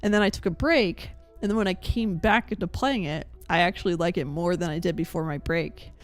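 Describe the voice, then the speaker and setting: A young woman game streamer chatting casually with her viewers in an expressive, meandering way. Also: on the low side, a little fast. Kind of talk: casual live-stream chat. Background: faint game music.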